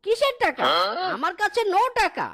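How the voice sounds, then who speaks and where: A woman voicing a sly male fox in a cartoon, cheeky and clownish, with a pitched-up sound. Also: very animated, normal speed, fairly bright tone, exaggerated voice. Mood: mocking. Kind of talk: cartoon character voice acting.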